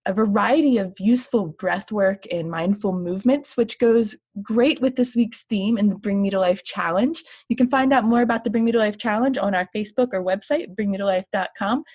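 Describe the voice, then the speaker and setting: A woman's voice: mid-range voice, brisk, few pauses, a little mellow, breathy edge. A woman hosting an interview podcast, introducing her guest and asking friendly questions. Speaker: podcast host.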